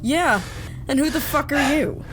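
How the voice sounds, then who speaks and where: A woman's voice: high voice, unhurried, over music, poor audio. An actress playing a skeptical, sarcastic, defiant woman on a space mission in a sci-fi audio drama. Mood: challenging. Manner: sarcastic, challenging. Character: defiant, skeptical female space-mission survivor, sharp-tongued.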